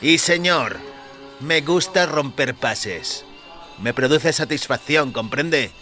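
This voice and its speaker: A man's voice: a little high, animated, slow, pushed and bright, faint music behind, pitched above the performer's own voice, heavily acted. A man voicing a cruel, petty circus ticket seller with a curt, insolent, menacing manner in an audio story. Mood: smug, spiteful. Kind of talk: dramatised short-story dialogue.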